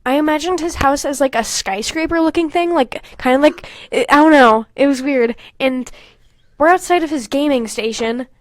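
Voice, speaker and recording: A young woman co-hosting a casual podcast, animated and sweary, with self-mocking asides. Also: sits high, moderate pace, no room sound. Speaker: podcast co-host. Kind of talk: casual podcast chat, reading old fan fiction aloud with mocking commentary.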